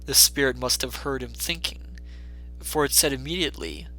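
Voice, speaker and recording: A man's voice: mid-range voice, normal speed, sharp tone, soft background music, rough recording. A man narrating a classic story in a dramatic audiobook style.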